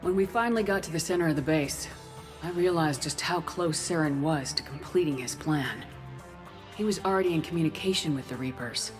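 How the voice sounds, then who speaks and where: A woman acts a sci-fi game's military commander, grave and determined. The voice is low for a female speaker, measured and authoritative, with cinematic music clearly behind it. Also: natural voice. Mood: grave recollection. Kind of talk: video game dialogue and scripted narration. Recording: music under the voice.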